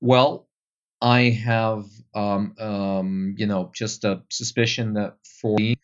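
A man's voice: on the low side, fairly even intonation, takes it slowly, clean tone, highs cut off. A young Flemish man, a Dutch speaker, answering interview questions about tour guiding thoughtfully, with many fillers. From Belgium. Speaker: tour guide guest.

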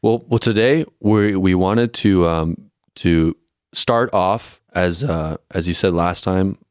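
A man co-hosting a podcast, greeting a guest and asking questions in a friendly, somewhat halting way. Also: low voice, no room sound, studio quality. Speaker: co-host. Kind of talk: podcast interview.

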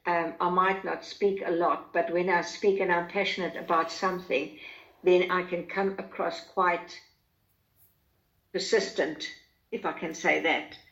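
Woman, lower voice, earnest, halting with ums, roomy echo, muffled sound. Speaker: guest co-host. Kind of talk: casual faith discussion.

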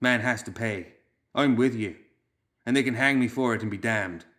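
A young country hothead from a grim storybook tale, performed by a man. He is bitter and blunt, speaking in folksy, rough-hewn phrases. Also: medium pitch, normal speed, fairly bright tone, close to an everyday voice. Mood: bitter, defiant. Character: bitter, bony young man, hot-headed rustic.

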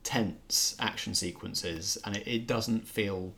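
Low-voiced man chatting casually about films. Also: slow, creaky, bright, forceful tone. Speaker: arts show co-host reviewing films. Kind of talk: casual film discussion.

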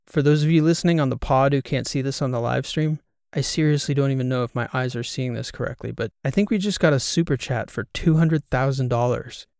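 A man voicing an excitable, incredulous male podcast co-host in an audiobook, animated and rambling. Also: mid-range voice, a little fast, no room sound, studio quality, close to an everyday voice. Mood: stunned. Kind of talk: audiobook character dialogue.

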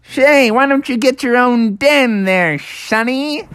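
A man voicing a cranky, self-important little old groundhog in a high, strained, folksy voice for a children's story. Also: slow, a bit bright, clear, resonant tone, no room sound, clean studio sound, exaggerated voice. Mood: nagging. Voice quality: high, strained small-critter voice. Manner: pompous, folksy, indignant.

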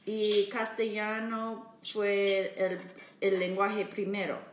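A woman's voice: mid-range voice, monotone, takes it slowly, reverberant. A woman, hosting a casual podcast interview in Spanish as a learner, searching for her words. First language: English. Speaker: podcast host.

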